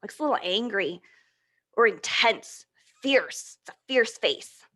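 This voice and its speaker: A woman presenting an interactive picture-book storytime for young children, encouraging and animated, often asking questions and answering them aloud. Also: fairly high pitch, a little slow.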